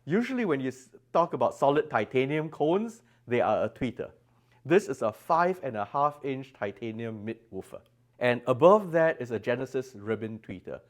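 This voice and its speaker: A man's voice: mid-range voice, expressive, normal speed. A man presenting products as a designer at a trade show, explaining technical details with emphasis. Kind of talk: product demonstration in an interview. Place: exhibition room at an audio show.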